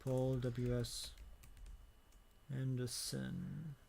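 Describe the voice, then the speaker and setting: A man co-hosting a podcast in casual chat, with a hesitant, halting delivery that often repeats phrases. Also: medium pitch, vocal fry, a little mellow, slight background noise. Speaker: podcast co-host. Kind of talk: casual chat about video game movies.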